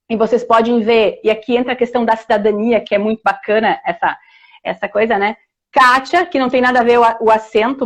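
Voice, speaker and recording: Woman, middle-aged, southern Brazilian accent, slightly high voice, chatty, many restarts, video call sound. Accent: southern Brazilian Portuguese, Rio Grande do Sul. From Rio Grande do Sul, Brazil. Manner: warm, chatty, with many restarts and tag questions. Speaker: historian and author guest. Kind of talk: casual interview storytelling.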